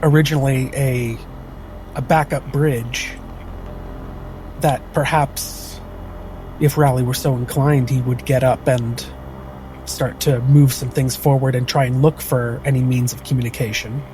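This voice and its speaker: A man's voice: mid-range voice, fairly even intonation, unhurried, a little mellow, faint music behind, poor audio. A man who is a player in a tabletop roleplaying game, tentatively and casually describing his character's actions.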